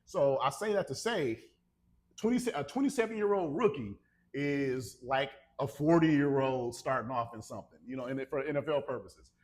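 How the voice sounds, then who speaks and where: An American man chatting casually as a podcast co-host, telling stories and teasing his friend in an animated way. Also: mid-range voice, normal speed. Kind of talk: casual conversation between friends.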